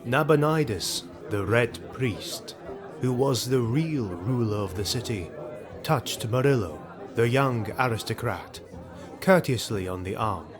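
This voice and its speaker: A man narrating a scripted fantasy tale in a solemn, deliberate, literary manner. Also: medium pitch, expressive, crowd noise. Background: background music.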